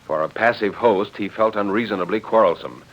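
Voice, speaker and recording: A man narrating a dramatic story in 1940s radio style, with a literary, expressive delivery. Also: lowish voice, fairly quick. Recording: dull vintage 1940s radio recording.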